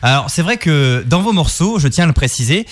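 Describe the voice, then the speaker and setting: Chatty radio host, slightly high voice. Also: male voice, expressive, brisk, a little hiss or hum. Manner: chatty, playful, many fillers and restarts. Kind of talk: radio interview.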